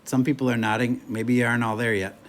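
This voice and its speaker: A man's voice: mid-range voice, flat delivery, fast talker, slight background noise. A male math teacher lecturing a classroom in a chatty, joking way while losing his voice. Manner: chatty, jokey, moves around the room.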